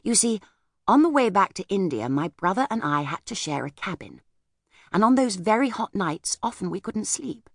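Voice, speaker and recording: A woman voicing a reserved, wistful older lady in an audiobook, speaking gently and hesitantly as she looks back. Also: mid-range voice, very animated, normal speed, dry recording, studio quality, voice pushed up. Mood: reflective. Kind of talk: audiobook character voice.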